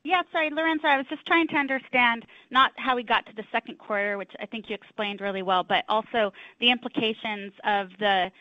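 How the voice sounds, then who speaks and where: Bright, high-voiced woman on a conference call. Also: brisk, few pauses, dry recording. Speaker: financial analyst asking questions. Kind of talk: polite conference-call follow-up question. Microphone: phone call. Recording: dull, lo-fi call audio.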